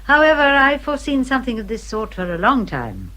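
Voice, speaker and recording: Genteel, expressive English older lady. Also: fairly high pitch. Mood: kindly concern. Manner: genteel, measured, emphatic. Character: well-bred older lady, kindly, practical motherly adviser. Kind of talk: radio drama acting.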